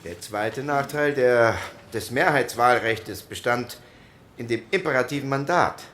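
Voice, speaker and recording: An actor playing a male delegate who speaks in a measured way and lists things with dry irony. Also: mid-range voice, fairly bright tone, close to an everyday voice. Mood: wry.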